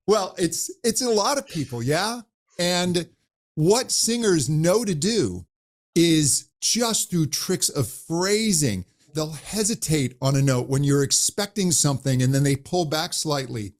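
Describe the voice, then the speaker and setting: Lively male voice coach. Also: slow. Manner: animated, demonstrates pitch with his voice. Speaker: voice coach guest. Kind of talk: conversational interview.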